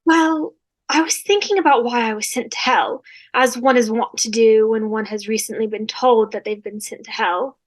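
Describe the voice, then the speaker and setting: A woman's voice: a little high, fairly even intonation, normal speed, natural voice. A woman playing a gracious, wordy, name-dropping rich socialite in a scripted comedy read over a video call. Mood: reflective. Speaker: fan table-read actor.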